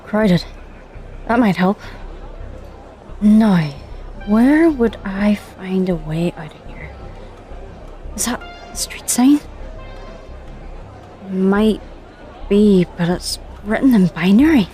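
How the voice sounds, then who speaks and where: A woman's voice: mid-range voice, expressive, slow pace, long pauses, warm tone, soft background music. An actress playing a wary, wry female commander in an audio drama. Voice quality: wry, guarded. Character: wary female commander alone in a hostile city.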